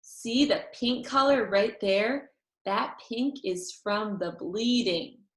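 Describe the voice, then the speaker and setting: A woman voicing an excitable, boastful little girl in a children's storybook, with an eager, emphatic, childlike delivery. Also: mid-range voice, slow, natural voice. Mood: showing off. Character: excitable, boastful little girl, kindergarten or first-grade age. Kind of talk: children's storybook read-aloud. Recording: roomy echo.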